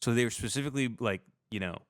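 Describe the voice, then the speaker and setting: A man's voice: medium pitch, rapid-fire, dry recording, clean studio sound. A man hosting a livestream in a casual comedic chat, rambling through irreverent, profane stories. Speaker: livestream show host.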